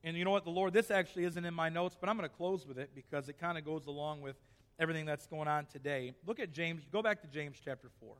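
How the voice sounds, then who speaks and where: A man's voice: a little high, fast talker, a bit bright, in a large hall. A male pastor preaching a sermon in a church, reading scripture aloud and then pressing his point with rising emphasis.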